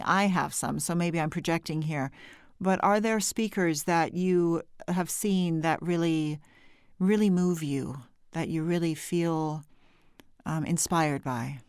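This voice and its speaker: A woman from California, hosting a conversation with a lowish voice and a light edge of vocal fry. She talks chattily, laughs often and corrects herself as she goes. The sound is dry and close. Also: measured pace, no echo. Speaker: podcast host. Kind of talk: conversational interview.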